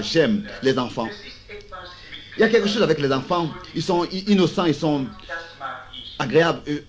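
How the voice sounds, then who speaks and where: Hoarse man preaching a sermon. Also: mid-range voice. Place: school auditorium.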